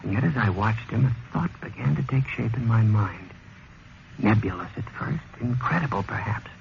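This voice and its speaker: A man's voice: fairly low pitch, lively intonation, normal speed, creaky. An American actor playing a haunted, obsessive office computer man, narrating his own story in melodramatic 1950s radio drama style. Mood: brooding, mounting dread. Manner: melodramatic, portentous delivery. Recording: muffled vintage 1950s radio recording. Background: dramatic music.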